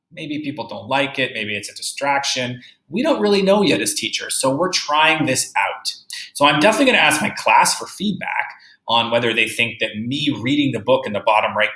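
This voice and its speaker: A man speaks as a grade-school teacher guiding children through a read-aloud lesson. His voice is bright and clearly projected at an ordinary male pitch. He explains in an instructive, emphatic way and often checks that listeners follow, with audible room echo around him. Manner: instructive, emphatic, checking understanding with tag questions.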